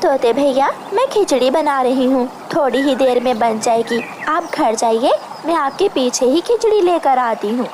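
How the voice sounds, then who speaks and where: A kind little bird neighbour from a cartoon, voiced by a woman. She sounds reassuring and high-pitched, with ambient noise clearly audible. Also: animated, normal speed, hardly any pauses. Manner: reassuring, helpful. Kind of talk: cartoon story voice acting. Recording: noisy ambient background.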